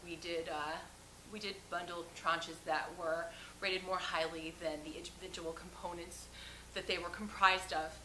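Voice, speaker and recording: A woman playing a flustered, evasive investment banker, halting and formal as she testifies in a comedic courtroom monologue. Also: on the low side, flat delivery, pushed and bright. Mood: nervous, defensive. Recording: roomy echo, noisy background.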